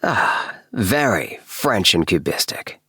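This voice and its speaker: A man voicing an eager, excitable house-obsessed gentleman with a breathless, effusive tenor in a storybook reading. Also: deep voice, takes it slowly, breathy edge, no room sound, studio quality, exaggerated voice. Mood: excited. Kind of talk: storybook character dialogue.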